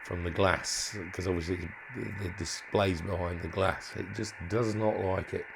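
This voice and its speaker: A man's voice: low-pitched, fairly even intonation, normal speed, gravelly, background noise. A man giving an informal hands-on demonstration as a hobbyist, thinking aloud in a hesitant way and often trailing off. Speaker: hobbyist presenter demonstrating modified radio gear.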